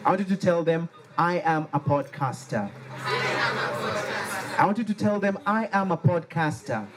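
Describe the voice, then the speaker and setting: A man with a Liberian accent leading a lively workshop, joking and getting the audience to repeat key words after him. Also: fairly high pitch, moderate pace, over a noisy crowd, very noisy. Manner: animated, playful, has the audience repeat words. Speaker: workshop facilitator. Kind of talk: interactive call-and-response workshop. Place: workshop room with a live audience.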